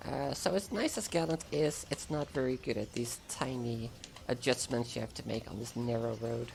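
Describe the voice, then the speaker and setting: Woman with a very low, gravelly voice. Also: moderate pace, darkish tone, a little hiss or hum. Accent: American-sounding English. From Germany. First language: German. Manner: casual, chatty, laughs often. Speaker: speedrunner. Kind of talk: live gameplay commentary.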